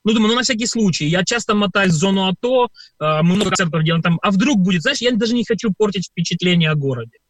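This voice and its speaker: A man's voice: high voice, lively intonation, fast talker, no room sound. A man from Ukraine, a performer, answering radio interview questions at length with many hesitant filler sounds. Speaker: performer and guest.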